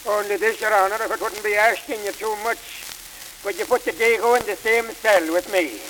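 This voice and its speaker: A man's voice: sits high, fairly even intonation, fairly quick, sharp tone. An actor playing a drunk, blustery Irish man pleading his case in court, in a sly, self-pitying way, in an old vaudeville comedy sketch. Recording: hissy, echoey old record.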